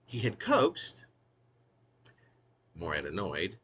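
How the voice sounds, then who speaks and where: Theatrical low-voiced man reading his poem. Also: wildly swooping pitch, slow pace, sharp tone, breathy edge. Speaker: poet reading own work. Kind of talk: wry literary poem reading.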